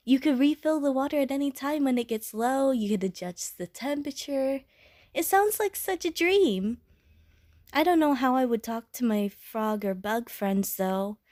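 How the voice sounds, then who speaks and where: Woman as female water slime, friendly, naive, chatty, slow-paced, very dry close sound, roleplay audio. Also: on the high side, darkish tone, no echo. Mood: curious, dreamy. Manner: naive, chatty, rambling, with bubbly verbal bloops. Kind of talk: audio roleplay addressed to the listener.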